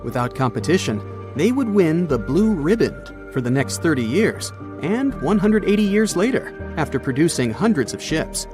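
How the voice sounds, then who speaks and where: A man's voice: a little high, very animated, normal speed. A man reading scripted documentary narration as the narrator. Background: background music.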